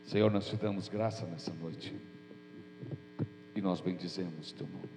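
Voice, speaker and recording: A man's voice: deep voice, steady tone, unhurried. A man preaching as pastor, his voice nasal and stuffy from a cold, speaking earnestly and informally. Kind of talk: prayer and sermon. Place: church hall. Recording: echoey church hall with mains hum.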